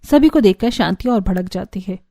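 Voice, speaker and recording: A woman's voice: mid-range voice, animated, moderate pace, mellow, faint music behind. A woman narrating a scripted cartoon family story.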